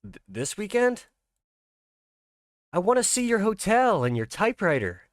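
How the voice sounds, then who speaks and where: An innocent young girl , acted by a man in a visual novel, voiced higher than the reader's normal talk. She is eager, curious and a little pleading with her father. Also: wildly swooping pitch, a little slow, dry recording, studio quality, heavily acted.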